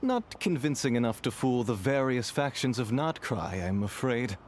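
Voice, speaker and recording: An actor playing a smug, courteous, scheming business dealer, suave and formal, in a video game. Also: male voice, medium pitch, sing-song, moderate pace, a little hiss or hum, lightly acted. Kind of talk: theatrical video game acting. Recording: game music behind.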